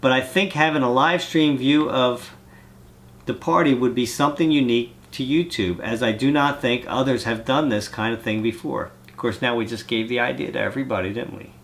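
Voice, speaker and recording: Congested male vlogger with a cold, mid-pitched, rambling and chatty. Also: brisk, fairly bright tone. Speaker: vlogger reading fan mail. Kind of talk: casual chat.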